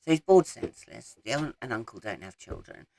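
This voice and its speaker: A woman, croaky from a head cold, chatting casually about her week's reading and rambling with frequent ums. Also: a bass voice, sing-song, a little fast, mellow, a little hiss or hum. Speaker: book vlogger. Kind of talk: casual reading wrap-up chat. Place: small garden shed.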